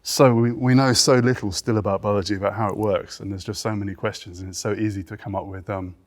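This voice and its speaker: Fast-talking, low-voiced British man. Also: fairly even intonation. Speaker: research biologist. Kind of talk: casual conversation.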